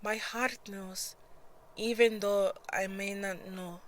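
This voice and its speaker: A woman's voice: medium pitch, steady tone, slow, close to an everyday voice. A woman voicing an earnest, hesitant younger sister, a village girl in an audio drama. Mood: quietly resolute.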